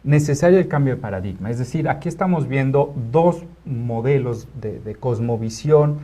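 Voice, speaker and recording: A man speaks in a soft, mellow tone at an average pitch. He hosts a studio discussion conversationally, feeling his way through ideas and often starting phrases again. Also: expressive. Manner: halting, rephrasing often, seeking agreement. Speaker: talk show host. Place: internet TV studio.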